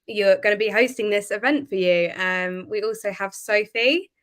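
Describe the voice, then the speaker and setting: A woman's voice: medium pitch, normal speed. Young female student host, clean resonant voice, casual panel chat. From Kent, England. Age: young adult. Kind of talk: casual hosted panel talk.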